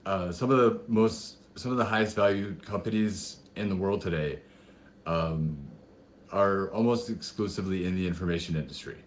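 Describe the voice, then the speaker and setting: A man with a deep voice that is a bit raspy today talks through his ideas on an online panel. He speaks through a headphone mic, and there is a faint hiss behind him. He often pauses and uses fillers as he works out his thoughts. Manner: thoughtful, halting, many fillers. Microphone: headphone mic on a video call.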